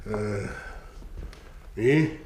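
A man's voice: lowish voice, extremely slow, a little mellow, breathy edge, exaggerated voice. An actor playing a grumbling, henpecked working-class father in a radio drama, speaking Berlin-area dialect. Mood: weary. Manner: grumbling, insistent.

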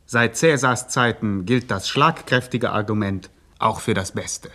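A man's voice: lowish voice, unhurried, sharp tone. An actor playing a self-important gangster reciting his memoirs sententiously in a radio drama.

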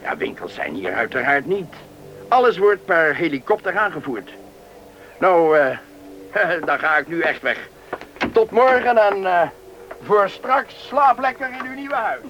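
A helpful official shows newcomers around in an old radio play. His voice is high and very bright, his manner courteous and explanatory, with faint noise behind him. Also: sing-song, exaggerated voice, poor audio. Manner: explanatory, polite, brisk guide. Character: helpful, talkative male settlement official showing newcomers around. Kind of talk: Dutch radio play acting.

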